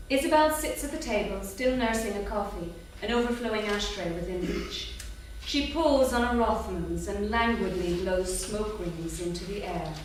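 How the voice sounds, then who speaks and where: A woman reading stage directions as scripted narration at a staged theatre reading. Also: mid-range voice. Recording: distant, very echoey hall, clear noise.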